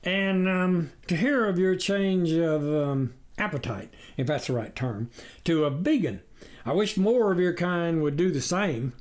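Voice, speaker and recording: A man's voice: on the high side, lively intonation, a little slow, voice pushed up. A man voicing a gullible, fretful country farmer in a storybook, with rustic, rambling talk. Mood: friendly, hesitant. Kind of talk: storybook character reading.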